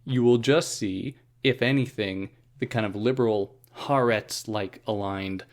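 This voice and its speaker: A man's voice: fairly low pitch, a little slow. A young man hosting a podcast, explaining a book in a loose, conversational monologue with fillers, restarts and the odd chuckle.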